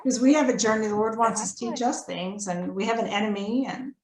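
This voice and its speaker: A woman's voice: on the high side, brisk, a crowd in the background. A woman, an author guesting on a podcast, giving conversational interview answers. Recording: roomy, echoing sound.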